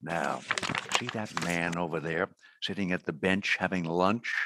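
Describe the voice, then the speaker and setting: A brisk, commanding American agency director in a radio play, acted by a man. His voice is fairly low and closely recorded. He speaks deliberately, with authority. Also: bright, forceful tone, no echo, full character voice. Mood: measured, intent. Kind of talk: radio drama acting.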